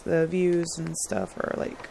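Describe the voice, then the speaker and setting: A woman with a hoarse, slightly worn voice talks casually over her gameplay, rambling from one thought to the next. She laughs easily and keeps clearing her throat. A faint hum of room noise sits behind her. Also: deep voice, expressive, takes it slowly, warm tone, poor audio. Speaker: gaming channel host.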